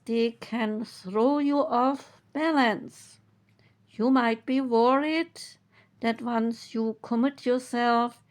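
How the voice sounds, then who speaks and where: A woman reading oracle card meanings aloud in a slow, measured, soothing way. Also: fairly high pitch. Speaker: tarot and oracle card reader.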